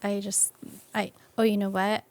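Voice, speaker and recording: A woman's voice: mid-range voice, level tone, normal speed, darkish tone. A woman chatting casually on a podcast in an informal, colloquial, easygoing way. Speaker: podcast guest being introduced as new series host. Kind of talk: casual chat.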